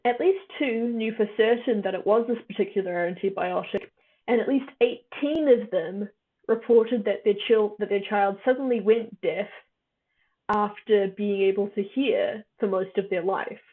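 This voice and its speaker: A young woman from New Zealand chats to her stream audience, tired-voiced and loose, with plenty of fillers between thoughts. Her voice sits at an ordinary pitch for a woman. The sound is narrow and thin, like a phone line. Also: normal speed. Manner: chatty, laughs often, many fillers, answers chat as she goes. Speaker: livestream host. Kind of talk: casual chat with a live chat, summarizing a reading.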